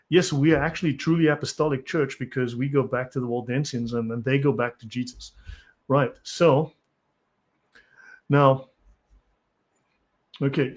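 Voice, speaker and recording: A South African man hosts a live stream, reading from articles and answering chat. His voice sits at an ordinary middle pitch. He lectures bluntly and has little patience. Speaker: channel host.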